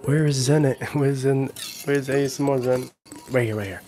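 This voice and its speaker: A man's voice: medium pitch, normal speed, dark tone, soft background music. A man in his forties chatting casually and playfully on a livestream, often repeating himself, close to the mic. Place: small room at home. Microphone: handheld-style recorder on a stand, close up.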